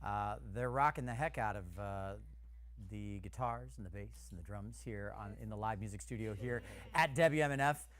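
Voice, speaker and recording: A man's voice: on the low side, normal speed. A man hosting a live music show on community radio, chatting loosely with many fillers. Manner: relaxed, filler-heavy patter. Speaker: radio host.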